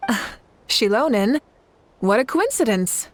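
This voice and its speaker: Poised young lady leader in a fantasy game, highish voice, measured n sure of herself. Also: big pitch swings, slight background noise. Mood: matter-of-fact. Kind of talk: video game voice acting. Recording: music playing behind the voice.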